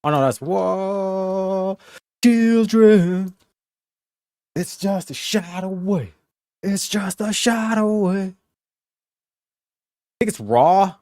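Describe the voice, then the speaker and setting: A man chatting to his viewers as he plays an online game, crude and jokey, often breaking into bits of song. He talks slowly and evenly. The sound is dry and close, with no room in it. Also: high-pitched, lively intonation, a little mellow, dry recording. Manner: profane, joking, talks to chat while playing. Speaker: streamer. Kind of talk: casual gaming livestream chat.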